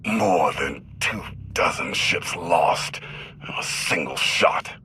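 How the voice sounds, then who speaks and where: An actor playing a power-hungry galactic tyrant in a video game, speaking with menacing, imperious drama. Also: male voice, deep voice, slow, a little hiss or hum, full character voice. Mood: cold anger. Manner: menacing, imperious, swelling from hushed to forceful.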